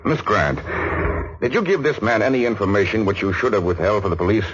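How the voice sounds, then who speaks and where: An actor playing a gruff, impatient homicide lieutenant in a 1940s radio drama, questioning brusquely and with exasperation. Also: male voice, lowish voice, expressive, fairly quick, close to an everyday voice. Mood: exasperated, demanding. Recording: dull, faintly noisy 1940s radio recording.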